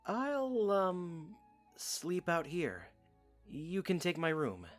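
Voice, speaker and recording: A woman acts out a bashful young man with a very lively intonation. He is earnest and protective, hesitant and dryly understated, in an audiodrama with light music behind. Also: fairly low pitch, very slow, full character voice. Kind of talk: audiodrama acting.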